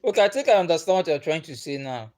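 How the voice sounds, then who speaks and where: A man's voice: fairly high pitch, big pitch swings, fast, darkish tone. Man chatting on a livestream. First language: Igbo. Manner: patient, repeats himself, chuckles often. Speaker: livestream host. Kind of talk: casual livestream chat reading comments.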